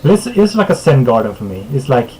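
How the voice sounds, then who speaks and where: A man's voice: medium pitch, sing-song, fast, darkish tone, a little hiss or hum, low-quality recording. Laid-back Swedish man hosting a stream. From Sweden. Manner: laid-back, chuckles often, trails off with fillers. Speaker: podcast host. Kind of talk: casual streamed chat.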